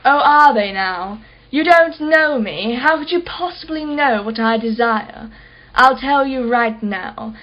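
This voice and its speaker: Woman acting as rich female art collector, high-set voice, articulate, haughty, sharp-tongued, radio play, slightly dull audio. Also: expressive, takes it slowly. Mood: disdainful. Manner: articulate, imperious, sardonic.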